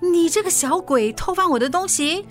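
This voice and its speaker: A woman playing a feisty, stubborn, middle-aged mother in a radio drama, with a Singaporean accent and a brisk, defensive, colloquial way of talking. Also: very high voice, expressive, soft background music. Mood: teasing, dismissive. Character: feisty forgetful mother, stubborn, brushing off worry.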